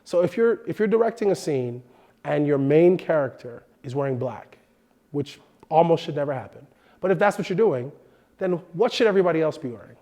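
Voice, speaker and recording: A man in his thirties hosting a film production lesson in a studio, animated and expressive, sounding a bit nasal from a cold. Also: on the high side, a little fast. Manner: animated, expressive, gestures with hands. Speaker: host. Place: indoor studio set.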